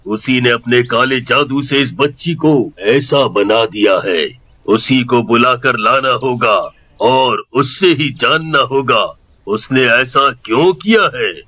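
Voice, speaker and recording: A man playing a grave, fatherly occult holy man with a solemn, deliberate manner in a dramatic story. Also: medium pitch, bright, forceful tone, dry recording. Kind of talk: dramatic animated story dialogue.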